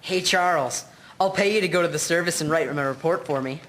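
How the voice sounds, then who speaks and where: A woman's voice: sits low, a little fast, a bit bright. A woman playing a cheeky, work-shy 1950s schoolboy in an amateur audio drama. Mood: cheeky, grumbling. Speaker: actor in an amateur history drama.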